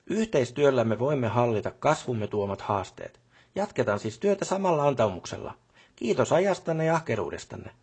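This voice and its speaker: A busy factory boss for a video game. His voice is husky and mid-pitched, and his manner is brisk and businesslike, with colloquial turns and short repeated affirmations. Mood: formal, official. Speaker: voice actor for a game character. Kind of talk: game voice lines, phone calls and workplace speeches.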